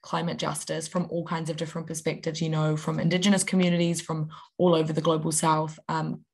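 Young New woman, low and even delivery, articulate panel guest. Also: fairly quick, gravelly, husky. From New Zealand. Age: young adult. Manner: articulate, steady, with fillers. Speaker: youth climate activist. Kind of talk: interview answers.

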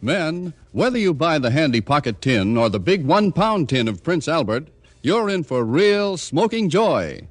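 A man's voice: a little high, very animated, moderate pace, no room sound. A man with an American accent announcing and reading ads in the style of 1950s radio. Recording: old radio broadcast transcription. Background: prominent music.

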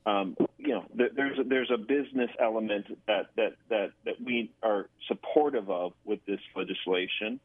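Over a narrow telephone line comes a man with a lowish voice. He is a radio interview guest who explains things haltingly, often repeating words. The sound is thin and dry. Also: no room sound. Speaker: guest, government affairs director. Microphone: phone call.

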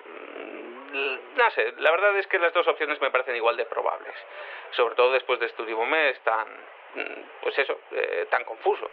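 A man's voice: medium pitch, animated, normal speed, vocal fry. An actor playing a confused male cat turned human, hesitant and stammering, rambling as an interviewee in an audio drama over a telephone line. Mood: unsure, rambling. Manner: hesitant, stammering, self-contradicting. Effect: telephone filter. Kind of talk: audio fiction acting as an interviewee. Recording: tinny phone line with noise.

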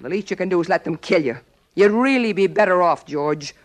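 A woman's voice: fairly low pitch, animated, brisk, natural voice. A woman playing a bitter, sarcastic woman fed up with her loser man, in 1940s radio acting with cutting delivery. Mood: mocking.

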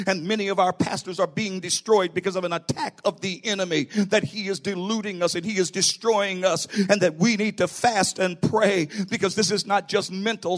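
A man preaching a sermon as a pastor, emphatic and declarative, appealing directly to his listeners. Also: high-pitched. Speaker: pastor, bishop preaching to own church.

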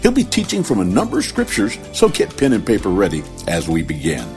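A male announcer reading a scripted introduction to a sermon broadcast in a smooth, inviting promo delivery. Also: sits low, wildly swooping pitch, brisk, darkish tone. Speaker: program announcer. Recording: voice over background music.

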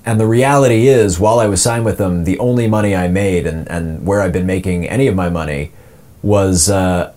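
A man's voice: low-pitched, a little fast, dark tone. A man in his twenties chatting casually as an interviewed voice actor, rambling and self-deprecating.

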